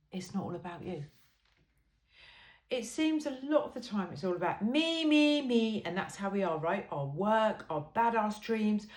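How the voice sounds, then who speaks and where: A woman reading a humorous self-help book aloud informally, with a wry, conversational, emphatic delivery. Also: a little high. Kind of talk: informal book read-aloud with chatty asides. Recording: roomy, echoey sound.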